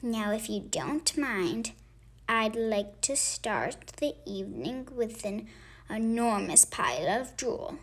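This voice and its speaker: A woman's voice: on the high side, expressive, slow pace, heavily acted. A woman voicing a huge, drooly male monster under the bed in a storybook, with a growling, leisurely menace. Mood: playfully menacing.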